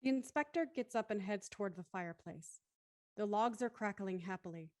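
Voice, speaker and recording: A woman's voice: medium pitch, moderate pace, darkish tone, no room sound, natural voice. A woman reading scripted stage directions as narrator of an audio drama.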